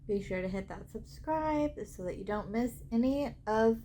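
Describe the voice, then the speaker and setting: A woman travel vlogger talking casually to camera with a head cold and a scratchy throat, in a chatty way full of ums. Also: fairly high pitch. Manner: slow, relaxed, chatty with filler ums. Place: cruise ship cabin.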